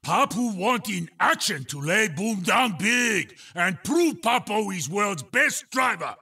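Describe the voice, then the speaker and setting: A man voicing a huge, boastful, childish cartoon chief in a kart racing game, raspy and loud, speaking in broken caveman-like phrases. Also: sing-song, slow pace, far from the mic, exaggerated voice. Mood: boastful, impatient. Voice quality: gravelly, raspy. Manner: broken caveman-like speech, loud booming exclamations. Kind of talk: exaggerated video game character acting.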